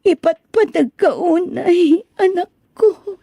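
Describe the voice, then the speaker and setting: A woman's voice: very high-pitched, slow, warm tone, dry recording, soft background music, heavily acted. A woman playing a remorseful, loving mother who speaks tenderly and pleadingly in a radio drama. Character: remorseful, loving mother back from working abroad.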